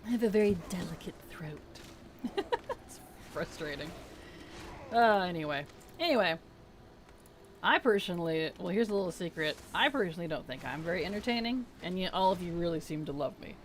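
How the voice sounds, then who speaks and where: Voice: mid-range voice, animated, fairly quick, pauses often, a little hiss or hum. Streamer with a weak, worn-out voice. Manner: soft-spoken, reads chat aloud and mutters to self. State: weak, strained voice. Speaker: game streamer. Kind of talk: casual live-stream chat while playing.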